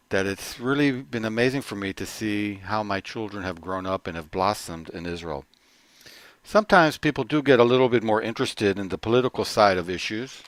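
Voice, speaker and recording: A middle-aged man giving an earnest personal testimony, often halting and restarting his phrases. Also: on the low side, dry recording. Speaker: corporate trainer.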